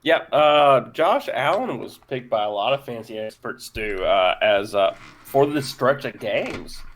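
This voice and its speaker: A man hosting a casual fantasy football podcast, often stumbling and filling pauses with uh. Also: medium pitch, animated. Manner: hesitant, stumbling with many fillers. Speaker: podcast host. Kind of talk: casual chat.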